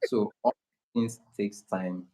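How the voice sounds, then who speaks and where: A man from Nigeria with a Nigerian accent, speaking slowly and calmly in casual conversation, often restarting his sentences. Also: fairly low pitch. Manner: slow, unhurried, many restarts. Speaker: guest creator.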